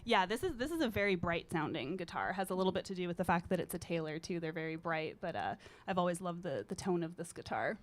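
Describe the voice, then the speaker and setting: A woman in her thirties talks easily into a studio mic, her voice sitting low with a gritty grain to it. She rambles comfortably, with lots of little ums and uhs, like a musician relaxed in conversation. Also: fast talker, few pauses. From British Columbia, Canada. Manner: relaxed, rambling, with frequent fillers. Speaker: singer-songwriter guest. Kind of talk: casual interview chat. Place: college radio studio. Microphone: studio microphone.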